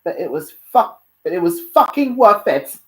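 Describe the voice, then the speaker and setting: A woman's voice: lowish voice, big pitch swings, moderate pace, pitched above the performer's own voice. A woman voicing a bold, flirty female hellhound, forward and teasing, in a story reading. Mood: affectionate.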